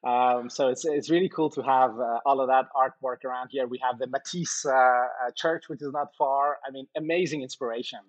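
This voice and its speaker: Husky male founder from Iran, musing through answers with many "uh"s and restarts. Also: mid-range voice, steady tone, a little fast, few pauses, dull audio. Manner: thoughtful, many fillers and restarts. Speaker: company co-founder and CEO, podcast guest. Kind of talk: conversational interview answers.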